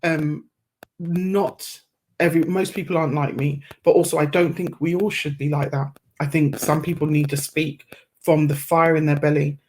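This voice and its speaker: Measured, thoughtful woman podcast guest. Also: sits low, little pitch movement, a little mellow, rough recording. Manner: measured, chooses words carefully. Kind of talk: reflective conversational interview.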